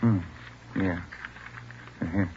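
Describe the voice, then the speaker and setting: A noisy, muffled old radio recording has an American detective's sidekick. He is plainspoken and gruff, with a lowish voice in vintage crime-drama style. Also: steady tone, takes it slowly. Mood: dry, casual. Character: plainspoken, gruff male police detective partner. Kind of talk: 1940s radio police drama acting.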